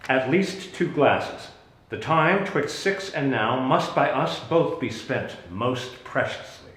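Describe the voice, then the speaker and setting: A man playing a grave, commanding exiled duke and sorcerer in a deliberate, declamatory Shakespearean stage reading. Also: medium pitch, lively intonation, a bit bright. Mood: commanding, questioning. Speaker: actor in dramatic reading. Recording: echoey room.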